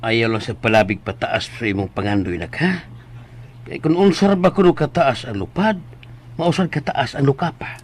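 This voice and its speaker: An actor with a Cebuano Filipino accent playing a down-to-earth older father in a radio drama, grumbling fondly and gently scolding. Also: mid-range voice, animated, moderate pace, soft background music, natural voice. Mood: cautioning.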